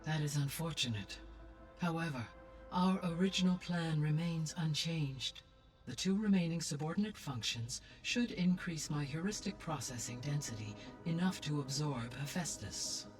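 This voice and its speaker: Calm wise lady ai in a video game, formal n precise, very composed, bit of music behind. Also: deep voice, moderate pace. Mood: calm, supportive. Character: serene, wise female artificial intelligence, formal and analytical. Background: faint music behind the voice.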